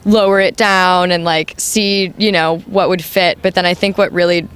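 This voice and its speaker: A young adult woman with a high voice, the band's new singer, answering interview questions casually with many "like"s and "um"s. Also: fairly even intonation, normal speed, fairly bright tone, clear, resonant tone. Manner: chatty, full of "like" and "um".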